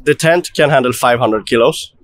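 A man at an indoor expo stand explaining a roof tent in a relaxed, matter-of-fact way. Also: medium pitch, moderate pace. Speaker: exhibitor. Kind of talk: casual interview answer.

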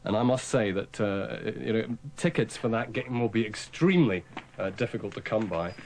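A man's voice: lowish voice, normal speed, a little mellow. A man talking casually about sport as a presenter on live radio.

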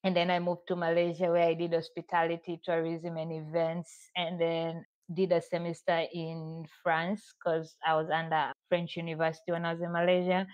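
A woman's voice: lowish voice, monotone, moderate pace, few pauses, lo-fi sound. A woman in her thirties from Tanzania, with a mixed Tanzanian and international accent, chatting as a podcast guest and laughing at herself often. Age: 30s. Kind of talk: casual interview.

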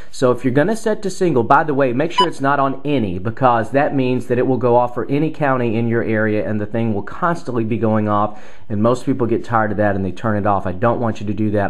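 Man, thirties, mid-range voice, methodical, instructional, speaking directly to the viewer. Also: medium pitch. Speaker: television meteorologist presenting a tutorial. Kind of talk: step-by-step instructional explanation.

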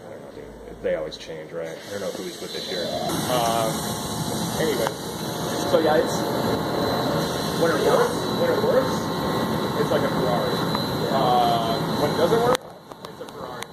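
A man's voice: mid-range voice, sing-song, normal speed, long pauses. A man in his thirties, co-owner of a clothing factory, giving a casual walk-and-talk tour and saying "dude" and "like" a lot. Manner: laid-back, says "dude" and "like" a lot. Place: large indoor workshop with sewing machines. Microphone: handheld camera following him. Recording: echoey warehouse with loud background noise.

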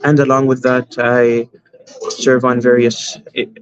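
A man's voice: medium pitch, flat delivery. A man from Canada, an imam speaking as a guest in an interview, answering earnestly with many fillers over a video call. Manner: earnest, measured, with frequent fillers. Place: home room, indoors. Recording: dull lo-fi video call audio.

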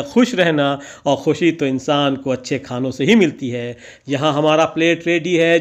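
A man narrating a vlog as a scripted voice-over, with ornate, poetic and theatrical phrasing. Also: a little high. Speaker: vlogger narrating.

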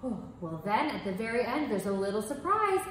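A woman's voice: a little high, sing-song, brisk. A woman in her thirties, a children's music teacher, talking to kids in an enthusiastic, exclamatory way. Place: studio in front of green screen. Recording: very echoey room, faint hiss.

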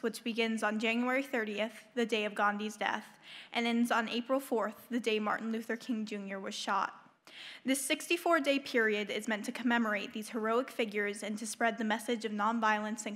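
A woman's voice: on the high side, a bit bright. A young woman delivering a prepared speech into a podium microphone in an auditorium. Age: teens or young adult. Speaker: student public speaker at a school board meeting. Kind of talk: prepared speech read aloud. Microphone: gooseneck podium microphone.

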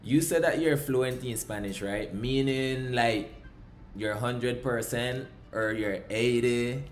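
A man's voice: medium pitch, slow pace, a little hiss or hum. A man hosting a casual video call interview, asking loose, hesitant questions from an indoor room. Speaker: interviewer, channel host.

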